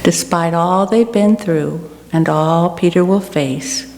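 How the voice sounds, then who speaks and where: A woman with a slightly low voice delivers a sermon at a very unhurried pace. She sounds earnest and deliberate. The recording has the long echo of a hall and noticeable background noise. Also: vocal fry, darkish tone. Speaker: guest speaker giving a church reflection. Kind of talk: personal sermon reflection to a congregation.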